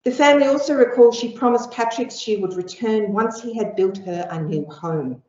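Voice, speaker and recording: A middle-aged woman giving an academic lecture with slides, measured and explanatory, pausing on ums. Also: a little high, darkish tone. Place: lecture room. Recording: echoey room, dull lo-fi sound.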